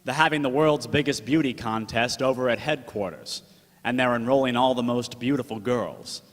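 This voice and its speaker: A man's voice: mid-range voice, normal speed, close to an everyday voice. A man acting a slangy, fond and protective 1940s gumshoe in a radio drama. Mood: fond, urging. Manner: slangy wise-guy talk. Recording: echoey hall reverb.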